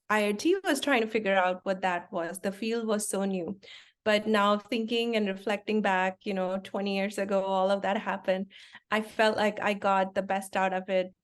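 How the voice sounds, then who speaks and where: A woman in her forties from India, a company founder, explaining her work in a relaxed interview with lots of fillers and restarts. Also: medium pitch. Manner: explains things simply with many fillers and restarts. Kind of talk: conversational interview.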